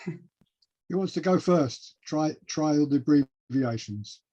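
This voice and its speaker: A man's voice: on the high side, lively intonation, slow pace, mellow. A man moderating an online class in a casual, encouraging manner, on muffled call audio. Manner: encouraging, informal. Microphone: video call.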